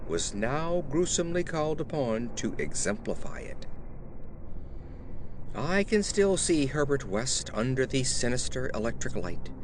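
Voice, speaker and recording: A man narrates a horror tale in a theatrical, measured manner. His mid-pitched voice rises and falls widely through the literary prose. There is clear noise behind him. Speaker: audiobook narrator. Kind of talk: dramatic scripted narration of a horror story.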